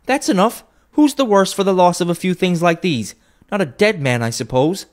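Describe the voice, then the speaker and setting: A brazen charwoman with a shrill, scornful edge, talking boldly and without shame, as a male narrator puts her on. Her voice sits far above a man's usual register in a pinched falsetto. It is a storybook reading. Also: animated, normal speed.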